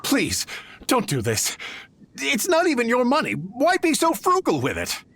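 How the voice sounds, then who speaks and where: An actor playing a greedy merchant who haggles hard and turns nervous and pleading, in video game voice acting. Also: male voice, very high voice, wildly swooping pitch, unhurried, fairly bright tone, slightly rough, dry recording, full character voice. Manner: wheedling, backs down when threatened.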